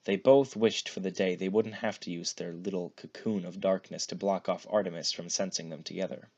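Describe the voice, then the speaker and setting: A man reading fan fiction aloud as an expressive narrated story. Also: low voice, a little fast, highs cut off. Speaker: fan fiction podfic reader.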